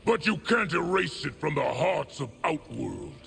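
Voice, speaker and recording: A proud, rebellious warlord declaims with a gravelly rasp. The pitch is high for a man and swings widely, forceful and defiant in video game style, with music behind. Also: lively intonation, a little slow, heavy background noise, exaggerated voice. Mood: defiant, resentful. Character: proud, militant, rebellious male war leader. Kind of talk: dramatic video game acting.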